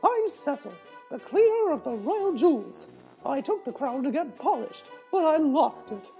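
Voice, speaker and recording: Very high-pitched excitable little mouse. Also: female voice, a little slow, faint music behind, heavily acted, rough recording. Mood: eager. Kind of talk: children's audio drama acting.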